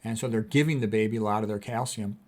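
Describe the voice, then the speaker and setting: A man with a fairly low voice speaks as a physician giving an informal lecture. He explains things plainly and bluntly, stressing his main claims and often repeating them for emphasis. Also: steady tone, quick pace, darkish tone, a little hiss or hum. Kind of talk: informal explanatory health lecture, commenting on quotes.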